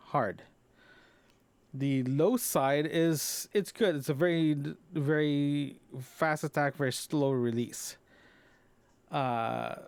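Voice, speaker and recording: A man recording a casual, unscripted solo audio log, talking in a rambling, halting way and often rephrasing himself. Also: on the high side, a little mellow, clean tone, no echo. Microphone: close microphone into a recorder, compressed.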